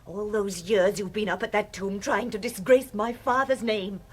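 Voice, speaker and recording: A woman's voice: medium pitch, fairly even intonation, moderate pace, husky, lightly acted. A woman acting in a radio drama as a defiant, outraged archaeologist's daughter who scorns treasure thieves. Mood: defiant, indignant.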